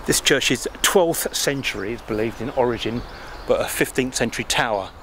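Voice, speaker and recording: A man's voice: mid-range voice, moderate pace, fairly bright tone. A man in his fifties from England, chatting casually and rambling to a handheld camera while walking around a country churchyard. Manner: chatty, rambling, self-deprecating asides. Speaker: travel vlogger. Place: outdoors by a roadside country churchyard. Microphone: handheld selfie camera at arm's length. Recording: traffic noise and wind outdoors. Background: passing traffic and wind.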